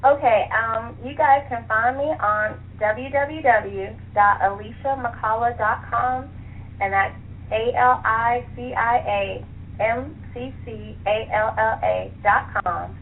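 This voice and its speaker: A woman's voice: on the high side, takes it slowly. A middle-aged American woman, a guest author chatting casually and laughing often in an interview over a phone line. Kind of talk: casual radio interview chat. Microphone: telephone. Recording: tinny phone line with faint noise.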